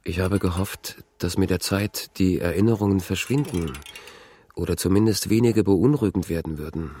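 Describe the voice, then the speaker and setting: An actor playing a brooding man haunted by memories, speaking in halting fragments in an introspective radio drama monologue. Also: low-pitched, warm tone, a little hiss or hum, natural voice. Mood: troubled.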